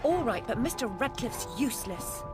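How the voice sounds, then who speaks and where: An actress playing a troubled young Victorian woman in a dark fantasy game, English-accented, prim and sardonic. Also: fairly high pitch, very animated, normal speed, loud music behind the voice. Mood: insistent.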